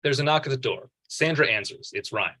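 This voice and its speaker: A man's voice: mid-range voice, a little fast, bright, forceful tone. A man reading out a script's stage directions as scripted narration at a table read.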